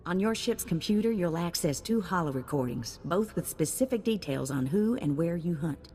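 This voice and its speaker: An actress playing a brash, streetwise bounty hunter's handler in a video game, folksy and cheeky. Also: female voice, on the low side, expressive, moderate pace, a little mellow, faint music behind, natural voice. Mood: chummy, instructive. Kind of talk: scripted game character acting. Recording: long hall reverb.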